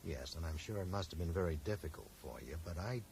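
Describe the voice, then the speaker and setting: A man's voice: sits low, animated, fast, creaky, a little mellow. An actor playing an earnest, polite and persistent man who questions people tactfully in a radio drama. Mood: probing. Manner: tactful but probing. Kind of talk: 1980s radio drama acting. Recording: noisy 1980s radio broadcast recording.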